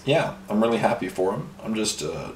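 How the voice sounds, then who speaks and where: A man's voice: on the low side, very animated, a little fast, darkish tone. A man chatting casually as he paints miniatures for a hobby video, rambling from one thing to the next with plenty of fillers. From Ireland and Canada. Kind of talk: casual chat while painting. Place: home hobby room. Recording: roomy echo, voice a bit far from mic, faint noise.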